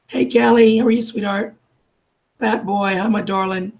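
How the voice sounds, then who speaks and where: A woman, losing her voice from a cold, chatting warmly with viewers on a live stream and calling them darling and sweetheart. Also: medium pitch, steady tone, moderate pace. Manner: affectionate, calls everyone darling and sweetheart. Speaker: livestream host. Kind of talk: casual live chat greeting viewers.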